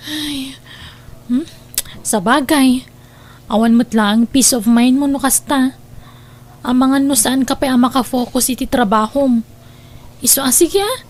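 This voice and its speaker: A woman's voice: high voice, unhurried, long pauses. A woman playing a caring, inquisitive young confidante in an Ilocano audio drama, exclaiming and questioning, with an Ilocano accent. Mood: surprised, sympathetic.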